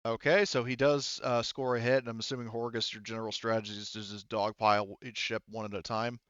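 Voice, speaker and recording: Clear-voiced man running a tabletop game. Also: medium pitch, little pitch movement, fast talker, fairly bright tone, dry recording, highs cut off. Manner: informal, thinking aloud. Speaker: game master. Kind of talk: casual tabletop game narration with dice rulings.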